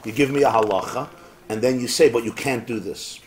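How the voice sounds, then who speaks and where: A man, a rabbi, teaching a Talmud class to students in a lively, rhetorical way, tossing in Hebrew and Yiddish terms. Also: mid-range voice, fairly quick, slight background noise, low-quality recording. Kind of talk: lively Talmud class lecture with back-and-forth.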